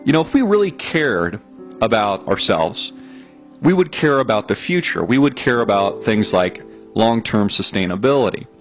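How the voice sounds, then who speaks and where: A man with a fairly low voice talks to his viewers in a reflective, thinking-aloud monologue. He often pauses on "uh" and restarts his sentences. Soft guitar music plays faintly behind him. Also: big pitch swings. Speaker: video channel host.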